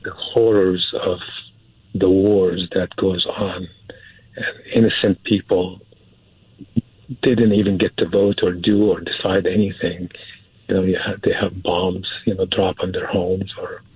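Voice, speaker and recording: A man's voice: low-pitched, level tone, measured pace, husky, dry recording. A man from Syria, a film producer speaking as an interview guest, hesitant and repeating words for emphasis. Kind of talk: casual interview talk. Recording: dry, phone-like narrow band.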